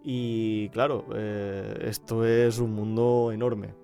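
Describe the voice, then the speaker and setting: A man's voice: on the low side, flat delivery, takes it slowly, gravelly, dark tone, highs cut off, soft background music. A man, a fandub actor talking casually about himself. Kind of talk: casual self-introduction to camera.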